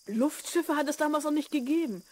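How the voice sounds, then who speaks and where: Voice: sits high, a little fast, a little mellow, close to an everyday voice. A performer playing a curious, earnest twelve-year-old schoolboy in a children's radio play. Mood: amazed. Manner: inquisitive, earnest.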